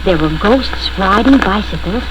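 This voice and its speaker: A woman narrating a spooky children's read-along story in the first person, deliberate and expressive, on a scratched 1970 record. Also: medium pitch, noisy background.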